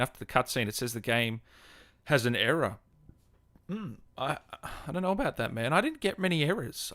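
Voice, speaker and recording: A man's voice: mid-range voice, a bit bright, dry recording. Australian man chatting casually, dry close sound. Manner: informal, chummy, addresses viewers as guys and man. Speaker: gaming streamer and host. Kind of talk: casual livestream chat, answering viewers' comments.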